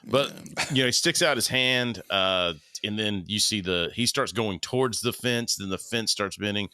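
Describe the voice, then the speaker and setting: A man's voice: fairly low pitch, moderate pace, bright, forceful tone, smooth, no echo. A man in his thirties co-hosting a podcast, chatting casually, swearing freely and joking. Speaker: podcast co-host. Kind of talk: casual chat.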